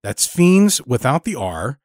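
A man's voice: mid-range voice, sing-song, takes it slowly, a little mellow, no room sound, clean studio sound. A man hosting a podcast, reading scripted narration and announcements. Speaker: podcast host.

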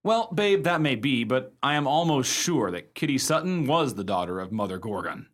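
A genial raconteur of radio drama, played by a man spins a ghost yarn conversationally. His middle-pitched voice moves up and down with lively expression. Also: moderate pace, natural voice. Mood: amused storytelling. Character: genial husband spinning a ghost yarn to listeners.

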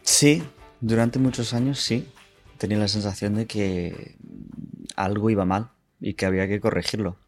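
A man's voice: on the low side, fairly even intonation, moderate pace, soft background music. A man in his twenties from Ohio, a native English speaker, casually telling his personal story as an interview guest. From Ohio, United States. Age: 20s.

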